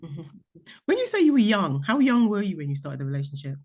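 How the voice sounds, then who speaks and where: A woman speaks as a podcast host in a conversational interview. Her pitch moves around in an animated way and she talks at a quick clip, putting questions to her guest. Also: lo-fi sound.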